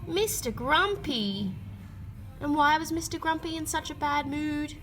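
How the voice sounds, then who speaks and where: A woman reading a children's picture book aloud, with expressive emphasis and drawn-out key words. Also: high voice, soft background music. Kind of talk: read-aloud storytelling for children.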